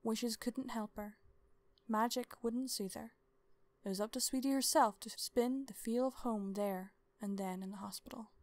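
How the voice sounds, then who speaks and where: A woman's voice: on the high side, steady tone, normal speed, darkish tone, dry recording. A woman reading a scripted story aloud in a dramatic bedtime-story style. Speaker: story narrator.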